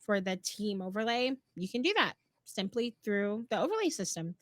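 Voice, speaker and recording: A woman's voice: mid-range voice, sing-song, normal speed, fairly bright tone, no room sound. A woman co-hosting a live stream tutorial, upbeat and affirming as she walks viewers through each step, her voice a little worn. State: slightly losing her voice. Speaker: co-host, platform guide.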